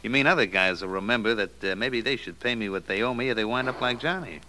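A man's voice: medium pitch, fast talker, sharp tone, close to an everyday voice. An actor playing a cocky, amused gangster loan shark with slangy tough-guy talk and cool menace in an old radio drama. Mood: amused, sly menace. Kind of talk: 1940s radio detective drama acting. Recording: noisy old radio recording.

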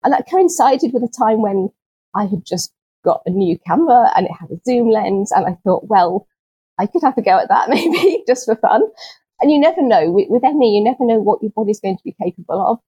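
A woman guest on a nature podcast, answering in a gentle, unhurried way with small restarts and soft laughs, sounding a little low on energy. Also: animated, husky, no echo, low-quality recording. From UK, not Scotland. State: chronically ill, speaks with low energy. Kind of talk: conversational interview answers.

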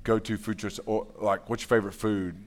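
A man, casually hosting a couples panel at a live event, relaxed and chatty. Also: lowish voice, brisk. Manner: relaxed, chatty, laughs often.

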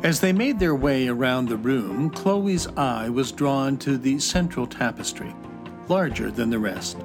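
Slow, measured male storybook narrator. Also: mid-range voice, expressive, music in the background.

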